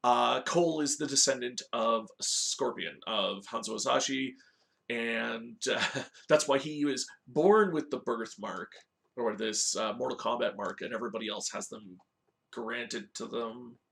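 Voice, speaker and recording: Gravelly-voiced man hosting a casual review. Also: mid-range voice, measured pace, fairly bright tone. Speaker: host of a video game movie review show. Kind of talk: casual review talk with story recap.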